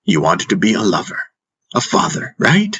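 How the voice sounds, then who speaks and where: Man voicing a husky, probing spiritual master. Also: fairly low pitch, huge pitch swings, normal speed, a bit bright, full character voice. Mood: probing, patient. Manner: instructive, rhetorical questioning with prompting hums. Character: wise male spiritual master, teasing, probing and patient. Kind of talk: audiobook character dialogue.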